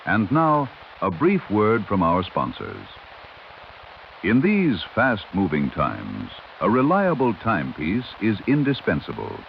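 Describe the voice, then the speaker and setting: Male announcer, low and highly inflected, formal old-time 1930s radio news delivery on a dull, lightly hissy recording. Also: wildly swooping pitch, slow, vocal fry. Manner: formal, dramatic, old-fashioned newsreader delivery. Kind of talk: 1930s radio newscast, scripted narration. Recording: muffled vintage radio sound with hiss.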